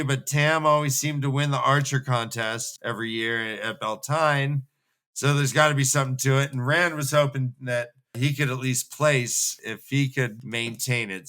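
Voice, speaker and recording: A man co-hosting a book show, retelling a story in a casual, jokey, slangy and animated way. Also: mid-range voice. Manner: animated and slangy, acting out dialogue in bits. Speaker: co-host of a fantasy book re-read show.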